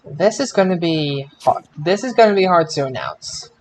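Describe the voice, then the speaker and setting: A man hosting a casual podcast results show, announcing in a drawn-out way with repeated phrases. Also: on the high side, animated.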